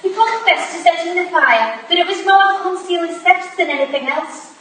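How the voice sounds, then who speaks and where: An earnest young Victorian protégée played by a woman talks in a very high, polite, tentative voice. It is a period stage reading, caught from a distance in a very echoey room with faint noise. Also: animated, moderate pace, fairly bright tone. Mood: earnest, reasoning.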